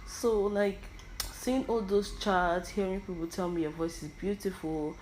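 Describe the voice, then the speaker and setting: A woman's voice: medium pitch, fairly even intonation, a little slow, darkish tone, a little hiss or hum, poor audio. A young Nigerian woman with an Igbo accent, whose first language is Igbo. She hosts a podcast and talks through her thoughts in a personal monologue, often stammering and repeating words. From Igbo land, Nigeria. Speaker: podcast host.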